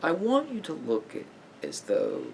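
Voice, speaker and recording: A man speaking softly as a therapist in an ASMR roleplay, in a measured, halting, explanatory way. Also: fairly high pitch, huge pitch swings, vocal fry, a little hiss or hum, low-quality recording.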